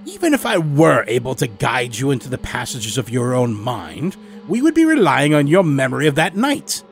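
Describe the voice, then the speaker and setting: A man's voice: medium pitch, huge pitch swings, moderate pace, creaky, pitched above the performer's own voice. A haughty elderly doctor acted by a male storyteller holds forth with scornful, theatrical emphasis. He is stern and condescending, every bit the persuasive scientist of an audio horror tale, with music underneath. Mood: scornful persuasion.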